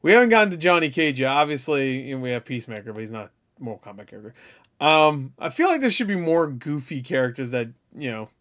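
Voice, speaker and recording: A man giving casual, profane reaction commentary with joking, deliberately dim asides. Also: medium pitch, sing-song, fairly bright tone. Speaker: reaction video host. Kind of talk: casual, off-the-cuff reaction commentary on game clips. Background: video game dialogue and music.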